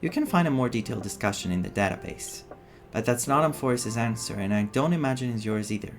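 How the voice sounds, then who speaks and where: A man streaming a story game, reading dialogue aloud and adding his own expressive reactions. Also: medium pitch, fast talker. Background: game music.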